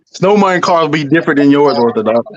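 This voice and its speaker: A man's voice: a little high, highs cut off. A man from South Carolina who reads tarot, talking casually on a panel and laughing often in loose slang. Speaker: tarot reader.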